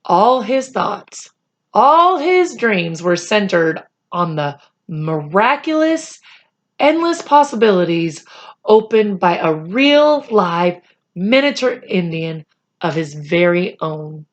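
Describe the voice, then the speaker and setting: Female storyteller for children's chapter books, slow delivery, mid-range pitch, expressive phrasing. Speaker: children's book reader. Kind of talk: read-aloud storytelling of a children's chapter book.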